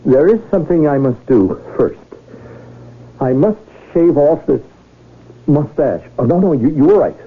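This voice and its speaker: An actor playing an earnest, somewhat self-important rich man in a 1970s radio drama, with a halting way of speaking. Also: a little high, animated, husky, exaggerated voice. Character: hesitant, earnest rich man about to be king. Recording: thin, tinny old radio recording.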